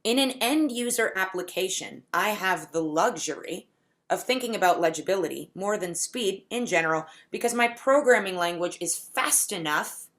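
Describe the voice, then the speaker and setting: A woman giving a conference talk in a deliberate, explanatory way, slowing down to stretch key words. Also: on the low side, fairly bright tone. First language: English. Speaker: conference speaker. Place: home setup for a virtual conference.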